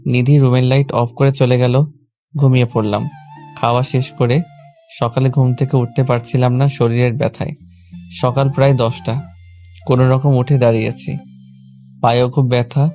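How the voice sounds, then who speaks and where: A man with a Bangladeshi accent narrating a scripted first-person romantic story in a plain, even delivery. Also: mid-range voice, normal speed, pauses often, soft background music. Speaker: narrator and lead character of a romantic audio story.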